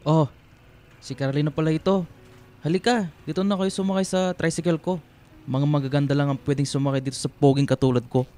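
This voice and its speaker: Man acting a high-voiced lecherous old Filipino tricycle driver. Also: fairly even intonation, a little fast, mellow, soft background music, lightly acted. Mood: flirty, boastful. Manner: cocky, pushy flirting that turns nasty. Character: lecherous, boastful old tricycle driver, insulting when spurned. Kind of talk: Filipino radio drama acting.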